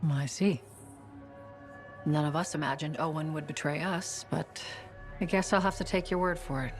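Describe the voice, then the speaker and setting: An actress playing a stern, businesslike intelligence chief in a video game. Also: female voice, low voice, fairly even intonation, moderate pace, faint music behind, natural voice. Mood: cool. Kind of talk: video game cutscene acting.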